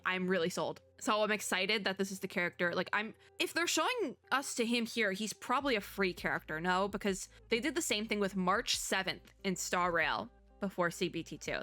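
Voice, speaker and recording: A woman with a very bright voice talks casually as a gaming video host. She is excited and gushing, rambling through her thoughts out loud. Music plays behind her. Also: mid-range voice, no echo. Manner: rambling, thinking aloud. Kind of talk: casual chatty game commentary.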